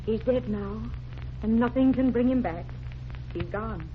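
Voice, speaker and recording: An actress playing a devoted, grieving secretary, mournful, solemn and protective, in 1940s radio melodrama style. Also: female voice, a little high, a little slow, natural voice. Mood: defensive grief. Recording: noisy, muffled vintage 1940s radio recording.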